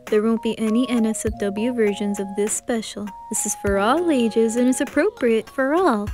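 A woman narrating a scripted introduction to viewers. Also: fairly high pitch. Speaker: channel creator and narrator.

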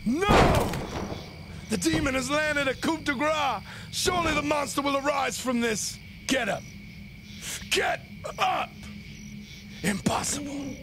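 An actor playing a gleeful, theatrical villain who commands monsters and gives melodramatic, sinister commentary on a wrestling match in a video game. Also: male voice, very high-pitched, vocal fry, noisy background, full character voice. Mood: disbelieving, angry. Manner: melodramatic, sinister, cackling, drawn-out exclamations.

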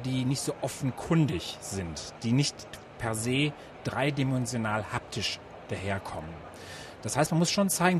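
A man answering interview questions as a guest, explaining things with examples. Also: medium pitch. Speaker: interview guest, science organisation director. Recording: noisy event ambience with traffic.

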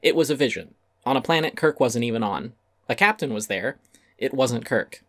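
A man's voice: mid-range voice, expressive, normal speed, fairly bright tone, no echo. Man reading an essay, dry close sound. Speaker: essay narrator. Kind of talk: dramatic reading of an essay, scripted narration.

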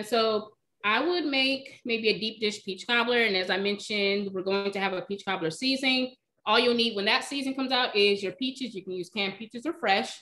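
A woman in her thirties explains her cooking in an easy, conversational way, often saying she eyeballs everything. She speaks over a webcam from a home kitchen, at a middle pitch. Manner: relaxed, chatty, explains by eye. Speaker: cooking demonstrator, recipe blogger. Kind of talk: casual live Q&A. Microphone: video call, webcam.

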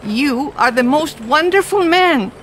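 A gentle nun in a game scene, high-voiced and unhurried. She is effusive and earnest in her gratitude, with faint outdoor ambience behind her. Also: expressive, slight background noise. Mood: warm gratitude. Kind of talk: cinematic video game acting.

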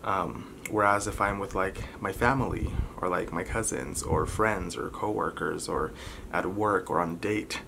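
A man in his twenties talking candidly to camera as a vlogger, halting and pausing often between short phrases. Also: sits low. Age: 20s. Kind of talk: candid personal talk to camera. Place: bedroom, indoors. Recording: clearly noisy room recording.

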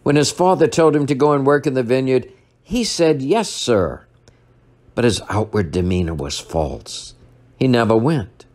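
An older man, a priest, delivering a sermon at a church lectern, retelling a story in a measured way and slowing down for emphasis. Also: mid-range voice, animated, darkish tone.

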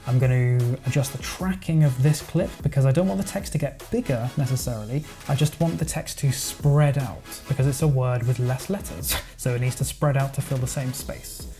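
A man's voice: mid-range voice, a little fast, few pauses, warm tone. Casual man explaining editing steps. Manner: casual, explanatory, thinks aloud with verbal sound effects. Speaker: video editing tutor. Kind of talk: screen-recorded software tutorial narration. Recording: voice-over with music playing underneath.

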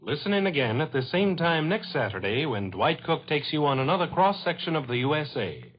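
An American man makes scripted network announcements in 1940s radio style. His voice sits fairly high and has a rough, husky edge. The old broadcast sounds muffled. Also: sing-song, fairly quick.

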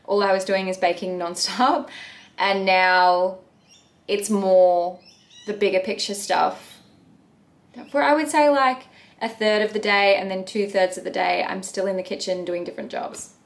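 A woman's voice: mid-range voice, steady tone, measured pace. A woman in her thirties, a bakery owner, chatting earnestly to camera from her bedroom in a casual question-and-answer video. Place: bedroom at home.

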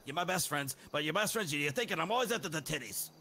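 A man's voice: fairly high pitch, lively intonation, quick pace, gravelly, crowd noise. A man role-playing in a video game, rambling and repeating himself with casual profanity. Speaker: role-play gamer.

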